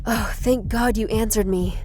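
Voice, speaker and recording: A woman voices an urgent, protective game informant, earnest and guarded. Her voice is fairly high, speaking in clipped phrases over faint music. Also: steady tone, measured pace, a little mellow, natural voice. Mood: relieved, hurried. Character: urgent, protective female informant texting warnings. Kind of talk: visual novel character acting.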